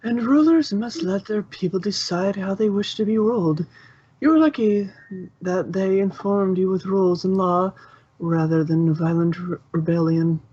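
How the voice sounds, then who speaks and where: A woman's voice: medium pitch, a little slow, warm tone, slightly rough, no echo. Casting: a woman as imperious night princess pony, blunt and declamatory, storybook character, dry slightly muffled recording. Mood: stern, regal. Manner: formal, declamatory, brusque.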